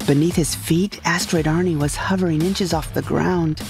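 A woman's voice: low-pitched, steady tone, moderate pace, vocal fry. A woman narrating a first-person comic science fiction audio drama. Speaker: narrator and lead character. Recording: music behind the voice.

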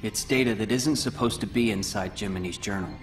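An actor playing a solemn, self-sacrificing teenage boy hero in a video game, speaking gravely and in measured, earnest phrases. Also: fairly low pitch, soft background music, lightly acted. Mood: grave warning. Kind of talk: video game cutscene voice acting.

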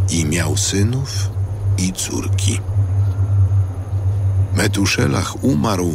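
A man narrating scripture from a script in a measured, solemn style. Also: low voice, vocal fry. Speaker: narrator of an audio Bible.

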